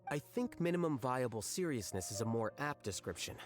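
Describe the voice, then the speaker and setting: A man's voice: medium pitch, very animated, a little fast, faint music behind, lightly acted. Game role: man voicing a cool, blunt young scholar, mid-range voice, dry and unbothered. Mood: detached. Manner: dry, detached, matter-of-fact. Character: aloof, blunt young male scholar official. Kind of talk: video game voice acting.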